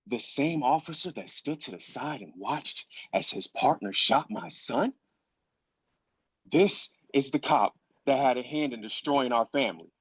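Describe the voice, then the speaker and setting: A man's voice: mid-range voice, lively intonation, measured pace, slightly rough, no echo. An actor playing a grieving, furious father in a dramatic script reading, speaking emphatically and incredulously, repeating phrases for weight. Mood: accusing, incredulous.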